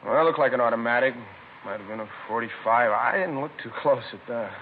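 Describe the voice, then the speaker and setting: A faintly hissy, narrow-band 1950s radio drama recording. A man plays a chatty shopkeeper witness with a medium-pitched voice, talking in colloquial, broken phrases with an aggrieved, put-out air. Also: fast, close to an everyday voice. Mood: obliging. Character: chatty, put-out male liquor store manager, robbery victim.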